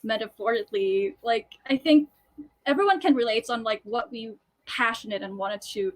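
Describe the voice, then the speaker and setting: A woman's voice: a little high, normal speed. A woman who grew up in Thailand, a musical theatre composer, chatting on a video call with many fillers and restarts. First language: Thai. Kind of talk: casual video call chat.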